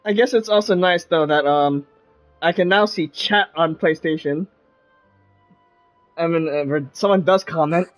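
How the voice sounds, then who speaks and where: A man's voice: a little high, unhurried, pauses often. Male game streamer chatting casually. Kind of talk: casual live stream commentary, reading some dialogue aloud. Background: video game music.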